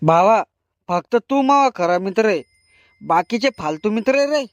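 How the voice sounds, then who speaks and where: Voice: medium pitch, lively intonation, moderate pace, no room sound, natural voice. A performer voicing a sentimental, argumentative young male drunkard in a cartoon comedy, with a rural Maharashtrian Marathi accent. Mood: maudlin.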